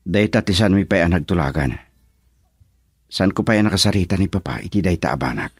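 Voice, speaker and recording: An actor playing a reluctant, brooding young husband in an Ilocano radio drama, with a Filipino accent. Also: male voice, low voice, expressive, fairly quick, husky, no room sound, clean studio sound, exaggerated voice. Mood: defensive.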